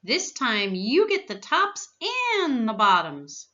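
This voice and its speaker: A woman's voice: fairly high pitch, wildly swooping pitch, takes it slowly, bright, forceful tone, highs cut off, exaggerated voice. A woman voicing a clever, cheery male storybook hare who coaxes and calls out in a sing-song way. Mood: chirpy, triumphant. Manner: sing-song calling, coaxing.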